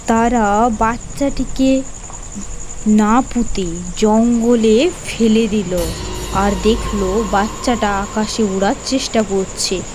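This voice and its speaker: A woman's voice: on the high side, steady tone, takes it slowly, background noise. A woman narrating a scripted horror cartoon story as its storyteller. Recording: music under the narration.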